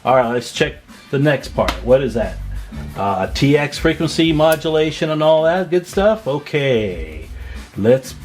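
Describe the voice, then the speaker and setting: A man's voice: fairly high pitch, sing-song, unhurried, darkish tone, soft background music. A man giving a casual, hands-on demonstration as a repair technician, informal and folksy, thinking aloud as he works indoors at a test bench. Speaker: radio repair technician and video host.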